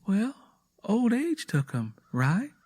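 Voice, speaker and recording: A man's voice: high-pitched, wildly swooping pitch, takes it slowly, a little mellow, husky, pitched above the performer's own voice, full character voice. A man voicing an earnest, anxious, devout young wife with an American Southern accent and a pleading rural way of talking, in a narrated story. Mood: alarmed.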